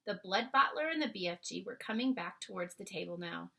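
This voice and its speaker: A woman's voice: mid-range voice, lively intonation, fairly quick, creaky, pushed and bright. A woman reading a children's book aloud as a storyteller, with short asides to listeners. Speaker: storybook reader.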